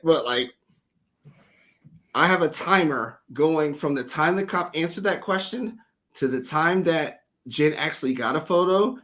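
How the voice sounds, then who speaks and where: Male livestream host, slightly higher voice, casual and animated, swears a bit. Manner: animated, loose storytelling with profanity.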